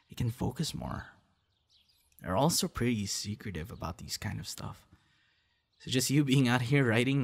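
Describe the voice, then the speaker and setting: A man playing a low, gravelly, friendly young guy. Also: full character voice. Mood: impressed, friendly. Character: friendly, shy young male budding voice actor meeting stranger. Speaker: audio roleplay performer. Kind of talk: ASMR roleplay, casual one-sided conversation.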